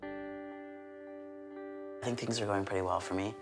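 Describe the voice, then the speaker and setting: A young man in his twenties talks in a casual, upbeat way, sprinkling in "like". His voice is low and fairly flat, with clear music playing behind him. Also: normal speed. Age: 20s. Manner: casual, upbeat, says "like" often. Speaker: youth center worker. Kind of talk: documentary interview. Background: background music.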